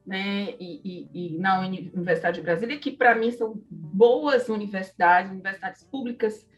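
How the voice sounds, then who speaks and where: A woman speaks with a Northeastern Brazilian accent, a little away from the mic with music underneath. She is an interview guest, sharing personal stories in a reflective, conversational way at a middle pitch. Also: moderate pace, far from the mic. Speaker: interview guest, psychology professor.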